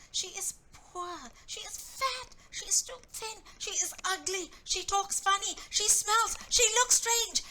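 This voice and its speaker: Woman playing a high-pitched, taunting bossy schoolgirl. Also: bright, forceful tone, slight background noise, heavily acted, poor audio. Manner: emphatic, chanting, scornful delivery. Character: bossy, taunting schoolgirl ringleader, sneering and exclusionary.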